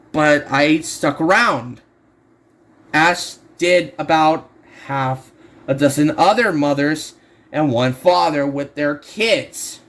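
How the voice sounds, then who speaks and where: A man reading a horror story aloud as an amateur narrator, halting and often stumbling over and repeating words. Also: fairly high pitch, a bit bright.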